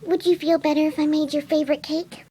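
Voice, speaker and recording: Girl doing a super high soft shy cartoon pony lady, gentle n pleading, kinda mellow. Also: fairly even intonation, fast, lightly acted. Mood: tender, cheering. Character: timid, kind-hearted female cartoon pegasus pony caretaker.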